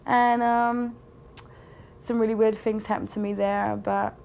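A woman's voice: fairly high pitch, level tone, measured pace, gravelly, a little mellow, a little hiss or hum. A young woman telling a personal story to the camera in a rambling way, full of fillers. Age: young adult. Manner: rambling, with many fillers and restarts. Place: indoor studio with a plain wall.

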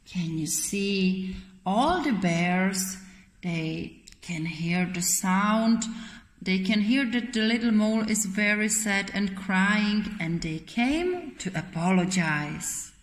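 A woman in her thirties tells a picture-book story to small children in simple, expressive phrases, asking questions as she goes. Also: mid-range voice, takes it slowly. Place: indoor children's classroom. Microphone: camera a short distance away. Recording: roomy echo, faint background noise.

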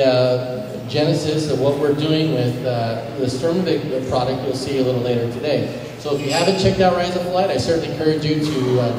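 A man's voice: on the high side, background noise. An American man, a studio head, introducing a product to an audience with a handheld mic, speaking casually with many fillers. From United States. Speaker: studio president presenting. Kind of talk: presentation to an audience. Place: large hall with flag backdrop. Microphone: handheld mic, recorded by a far camera. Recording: echoey hall recorded from far off.

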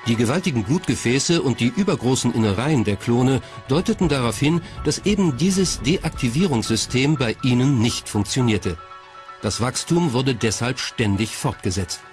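A man's voice: mid-range voice, expressive, normal speed. A man reading scripted narration as the narrator of a documentary. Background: faint music.

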